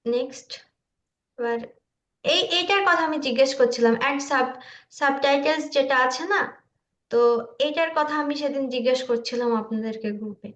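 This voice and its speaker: A woman's voice: normal speed, long pauses, a bit bright. A woman giving an informal online tutorial in a hesitant, self-deprecating way, sounding nervous. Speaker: student presenter demonstrating video upload. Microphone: online video call. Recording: muffled low-fidelity online meeting audio.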